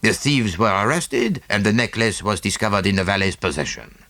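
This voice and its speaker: A man's voice: lowish voice, animated, normal speed, bright, forceful tone, no room sound. A man voicing a small, self-satisfied Belgian detective with a French-speaking Belgian accent and a didactic, theatrical manner in an audiobook. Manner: didactic, punctuated with "eh?" and French phrases.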